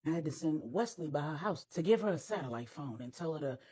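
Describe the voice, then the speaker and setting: A woman's voice: deep voice, expressive, quick pace, dark tone, breathy edge, voice pushed down. A woman storyteller voicing a worried, street-tough crime family member who talks in a blunt, guarded way. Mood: grave.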